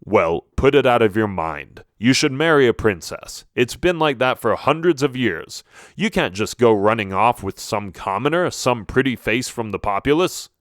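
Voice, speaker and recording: A man's voice: medium pitch, animated, normal speed, dry recording, clean studio sound, lightly acted. A man voicing a commanding royal father in a storybook, firm and authoritative. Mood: stern, dismissive. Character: stern, commanding middle-aged king and father.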